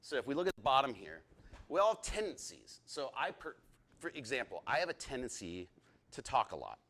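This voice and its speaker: Casting: thirties man, group teacher, eager and talkative, average pitch. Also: fairly quick. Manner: excitable, talks a lot, asks the group questions. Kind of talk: interactive leadership lesson.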